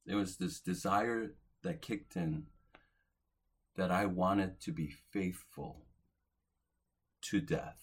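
A man in his forties preaching a sermon as a pastor, earnest, lifting to emphatic peaks and then dropping soft at the ends of phrases. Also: deep voice, slow, long pauses, slightly rough. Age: 40s.

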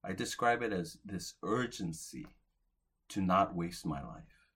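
Husky low-voiced pastor in his forties. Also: lively intonation, unhurried. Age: 40s. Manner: earnest, swells to emphatic lifts, then drops soft at phrase ends. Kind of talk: sermon.

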